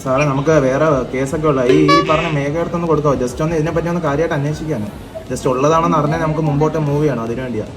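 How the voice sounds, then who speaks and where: A fairly high-pitched officer played by a man prank calling questions, polite yet probing and a little halting. He sounds like an official checking a complaint, with loud music playing behind him. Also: fairly even intonation, close to an everyday voice. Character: officious male child helpline officer investigating a complaint. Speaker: prank caller. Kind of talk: prank phone call posing as official questioning.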